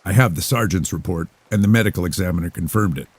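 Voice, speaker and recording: A man's voice: deep voice, fast talker, husky, dry recording. A man doing a weary, skeptical detective. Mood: questioning. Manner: blunt, matter-of-fact questioning. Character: tired, skeptical male police detective.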